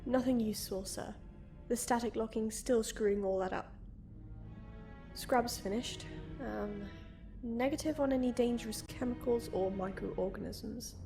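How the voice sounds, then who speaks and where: A woman's voice: fairly high pitch, unhurried, dark tone. A woman playing a dutiful, candid junior military technician in a sci-fi audio comic, reporting somewhat informally. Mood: candid, businesslike. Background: prominent music.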